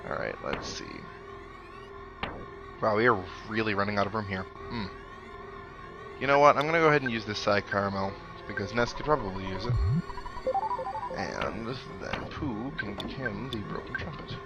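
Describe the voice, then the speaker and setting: A man's voice: fairly low pitch, moderate pace, pauses often, muffled recording. A man giving casual video game commentary as a let's play host, thinking aloud and reacting as he goes. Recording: loud game music behind. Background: video game music.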